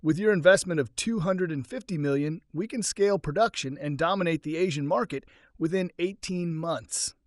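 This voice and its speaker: A man's voice: on the high side, normal speed, few pauses, no room sound, studio quality, voice pushed up, close to an everyday voice. A man narrating a story plays a nervous male startup boss with a rehearsed, placating sales manner. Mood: rehearsed confidence. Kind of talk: dramatic audiobook-style story acting.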